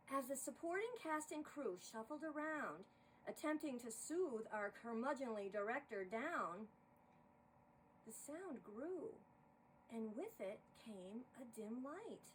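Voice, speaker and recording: A woman narrating a rhyming story in an expressive, theatrical style. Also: fairly high pitch, takes it slowly. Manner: dramatic, expressive. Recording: distant mic with faint noise.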